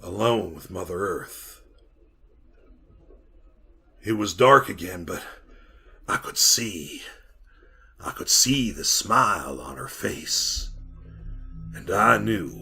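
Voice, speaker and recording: An actor playing a sinister, haunted survivor who serves an underground power, telling his tale deliberately in a retro radio drama. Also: low-pitched, animated, creaky, pushed and bright, breathy edge, full character voice. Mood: haunted, intense. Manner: ominous, deliberate storytelling.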